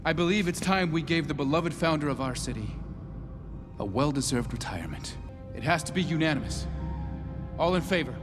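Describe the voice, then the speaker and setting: An actor playing an earnest, idealistic young inventor turned city councilor in an animated drama, intense and resolute. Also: male voice, on the high side, brisk, close to an everyday voice. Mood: solemn. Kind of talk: dramatic animated series dialogue. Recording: dialogue over clear background music. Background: music.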